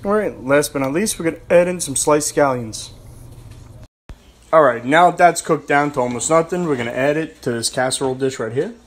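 A man's voice: fairly high pitch, a little fast. A young man casually narrating a home cooking video in his kitchen, joking and brash with streetwise slang. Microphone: phone camera held close.

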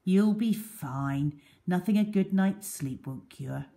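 A woman voicing a brisk, caring first-aid lady in a storybook. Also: fairly low pitch, animated, vocal fry, warm tone, exaggerated voice. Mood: brisk, reassuring.

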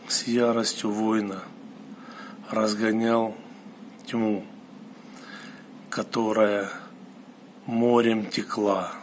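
A man giving a personal monologue, recounting an experience solemnly in short, halting phrases. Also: lowish voice. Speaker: storyteller recounting a mystical experience. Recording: clear steady background noise.

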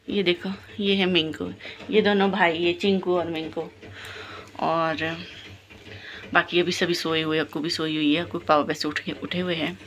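A woman's voice: lowish voice, fairly bright tone. A woman, chatting casually as a family vlogger into a handheld phone. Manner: addresses viewers and family directly. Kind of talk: casual vlog chat. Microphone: phone at arm's length. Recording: handheld phone with background noise.

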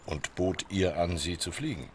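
Guarded, deep-voiced diplomat. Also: male voice, expressive, normal speed, a little hiss or hum. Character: guarded male diplomat, curt and evasive. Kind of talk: crime radio drama acting.

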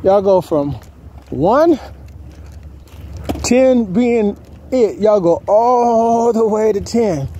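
A man's voice: high-pitched, expressive, extremely slow, pauses often, warm tone. A man giving casual, slangy advice in a walk-and-talk vlog, repeating himself as he goes, recorded on a handheld phone. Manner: colloquial, slangy, repeats himself, acts out quotes. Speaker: vlogger. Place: outdoors on a street while walking dogs. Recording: noisy outdoor phone recording.

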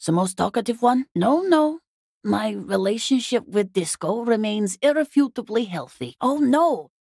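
A woman playing a weary, guarded working class wife and mother in a video game, husky, plain-spoken and exasperated. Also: on the high side, expressive, a little slow, a little mellow, no room sound, clean studio sound, full character voice. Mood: defensive, irritated. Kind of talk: video game character dialogue.

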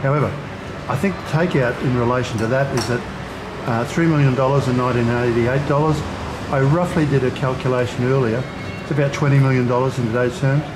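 A man with a medium-pitched voice hosts an interview. He speaks deliberately, breaking sentences into short phrases, and is recorded outdoors amid loud traffic and ambient noise. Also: fairly even intonation. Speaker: interviewer, channel host. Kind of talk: interview hosting. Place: outdoors at a street cafe.

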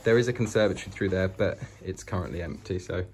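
Low-voiced British man giving a house tour. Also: fast. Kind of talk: casual walk-through narration. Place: inside an old house, walking between rooms. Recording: noisy indoor handheld home recording.

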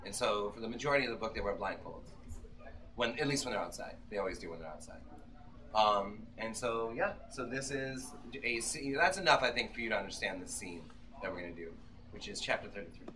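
A man's voice: on the low side, expressive, brisk, pushed and bright, a little hiss or hum. Man at a bookstore reading, recorded from afar. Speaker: author reading from own novel. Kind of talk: informal talk and dramatic novel reading. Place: bookstore, indoors, small live reading. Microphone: far camera among the audience. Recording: distant camera mic, music playing. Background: music.

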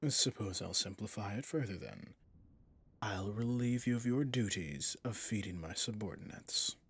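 A man playing a possessive villain boss in an intimate ASMR roleplay, speaking formally and commandingly yet indulgently, in a husky, breathy voice. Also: fairly low pitch, moderate pace. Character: smooth possessive male villain boss, doting on favorite servant.

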